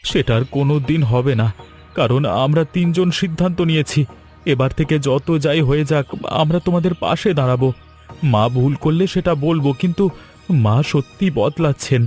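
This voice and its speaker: A man plays an apologetic young husband in a cartoon drama. His voice sits fairly high, earnest and pleading, with faint music behind. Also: normal speed, a little mellow, lightly acted. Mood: relieved, earnest. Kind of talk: Bengali cartoon family drama dialogue.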